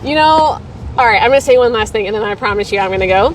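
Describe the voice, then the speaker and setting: A woman's voice: on the high side, lively intonation, fast talker, recorded from a distance, a little hiss or hum, low-quality recording. A woman from Texas hosting a weekly livestream, chatting casually and rambling between viewer comments. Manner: chatty and rambling, laughs easily.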